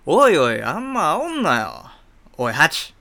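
Man acting flashy, pompous rich playboy, bright voice. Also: a little high, huge pitch swings, a little slow, gravelly, full character voice. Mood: cocky. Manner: pompous, showy drawl. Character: arrogant, flashy, rich playboy ruling his entourage. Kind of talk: Japanese comedy radio drama acting.

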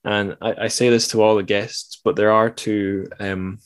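Low-voiced man hosting a podcast, dry sound. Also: level tone, a little slow, no echo. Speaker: podcast host. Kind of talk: casual podcast interview.

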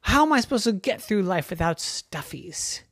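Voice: mid-range voice, big pitch swings, normal speed, no echo, clean studio sound, voice pushed up. An adult voicing a spoiled little girl in a bedtime story, whining and pleading over her stuffed toys. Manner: whiny, pleading.

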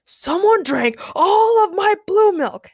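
A woman voicing a boastful, hot-tempered man who shouts loudly in a story. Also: extremely high pitch, expressive, slow pace, dry recording, clean studio sound, voice pushed up, full character voice. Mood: alarmed fury. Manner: loud shouting.

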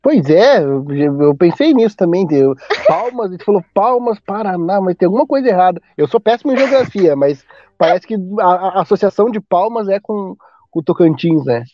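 A man from Brazil, a teacher hosting a podcast in casual chat, talkative and quick to laugh. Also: high-pitched, animated, no room sound, highs cut off. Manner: chatty, laughing often, drawn-out asides.

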